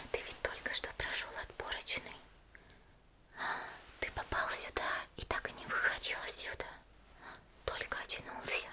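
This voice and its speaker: A woman playing a cheerful, caring young female warrior in an ASMR spa roleplay, whispering gently and chattily without hurry. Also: lightly acted. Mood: caring, chatty. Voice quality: breathy unvoiced whisper. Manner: gentle, unhurried, chatty, soft hesitations.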